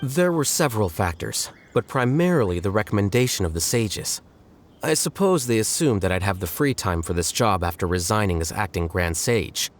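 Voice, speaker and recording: An actor playing a calm, aloof, intellectual young man in a video game, deadpan and matter-of-fact. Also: fairly low pitch, a little hiss or hum, close to an everyday voice.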